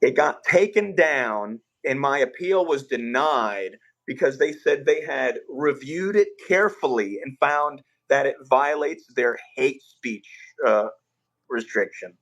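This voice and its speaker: A young man hosting a livestream, telling stories in a relaxed, rambling way with filler words, his voice a bit rough. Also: medium pitch, measured pace, rough recording. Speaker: livestream host. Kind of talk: casual livestream chat.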